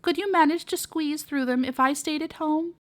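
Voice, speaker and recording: Woman as a fussy, high-voiced old aunt pig. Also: normal speed, no echo, natural voice. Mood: indignant. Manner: bossy, fretful calling. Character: stout elderly aunt pig, fussy and practical.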